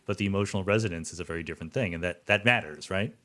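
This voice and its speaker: A man with a fairly low voice hosts a conversational interview. He muses casually and reflectively, checking in with the listener as he goes. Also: very fast, fairly bright tone. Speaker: podcast host.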